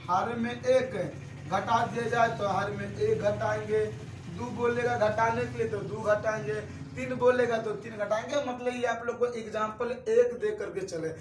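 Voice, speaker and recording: A young man in his twenties teaches math with a strikingly high voice. He keeps repeating each step and asking his students questions. He stands back from the mic in a roomy, echoing space. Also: far from the mic, a little hiss or hum. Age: 20s. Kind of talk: classroom-style lesson.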